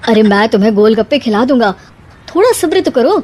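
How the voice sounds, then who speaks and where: A flustered young cartoon husband, as a woman acts him, fairly high and very animated in pitch. He pleads and soothes, trying to keep the peace. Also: fairly quick. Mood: exasperated, soothing. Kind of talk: animated moral story acting.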